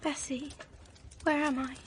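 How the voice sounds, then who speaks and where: A woman's voice: high-pitched, little pitch movement, measured pace, slight background noise. An actress playing a passionate ten-year-old orphan girl in a period radio drama, earnest and plain-spoken. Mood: meek, uncertain.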